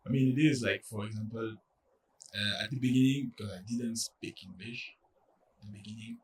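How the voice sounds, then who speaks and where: A man's voice: on the low side, distant mic, slight background noise, rough recording. French-accented young man, hesitant speech. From France. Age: young adult. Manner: halting, many fillers and restarts. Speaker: college soccer player, guest. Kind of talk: casual interview.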